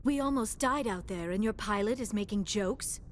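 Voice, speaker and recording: A woman's voice: medium pitch, moderate pace, slight background noise, lightly acted. Earnest young alien scholar voiced by a woman. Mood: indignant. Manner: precise, formal, earnest. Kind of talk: video game dialogue acting.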